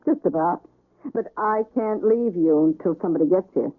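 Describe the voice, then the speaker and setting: An actress playing a frank, curious, gossipy hospital nurse with an easygoing manner in a 1970s radio drama. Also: female voice, fairly low pitch, moderate pace, close to an everyday voice. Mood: chatty. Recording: tinny old radio broadcast recording.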